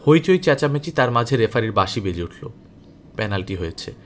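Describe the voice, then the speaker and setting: A man reading a novel aloud as an audiobook narrator, in an expressive, dramatic storytelling style. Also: mid-range voice, a little fast, a little hiss or hum.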